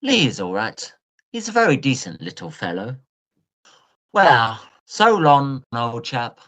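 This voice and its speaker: Audio drama: male actor as breezy undergraduate, low pitch, unhurried, swooping storyteller's delivery, slangy and chummy. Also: very animated, fairly bright tone. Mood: breezy, advising. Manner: jaunty, storytelling, old-fashioned slang. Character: chatty, breezy Victorian Oxford student, gossipy young man. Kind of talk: Victorian audio drama dialogue.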